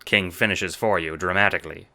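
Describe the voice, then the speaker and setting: A man narrating a second-person audio drama in a dramatic, deliberate way. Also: low voice, fairly even intonation, bright, forceful tone. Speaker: narrator and producer.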